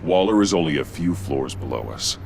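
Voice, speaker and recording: An actor playing a stern, brooding masked hero in a video game, terse and commanding, with a gravelly voice. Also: male voice, rumbling low, expressive, fairly quick, slight background noise, heavily acted. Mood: grave, businesslike. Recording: loud music behind the voice.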